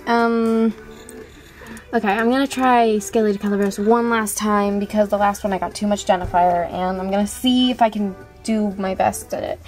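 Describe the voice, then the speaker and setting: A woman's voice: mid-range voice, steady tone, moderate pace, darkish tone, faint music behind. A woman chatting casually and apologetically to online viewers as an amateur voice auditioner. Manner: self-deprecating, apologetic.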